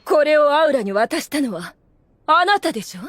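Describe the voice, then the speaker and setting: A woman's voice: high-pitched, sing-song, moderate pace, a bit bright, no room sound. A woman playing a proud, scheming demon woman in an anime audio drama, with theatrical, formal feminine speech. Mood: accusing.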